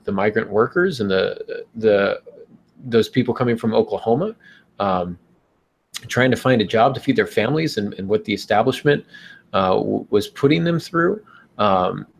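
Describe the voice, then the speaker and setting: A man in a casual podcast discussion, giving reflective writing advice in a halting, thoughtful, explanatory way. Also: fairly low pitch, creaky.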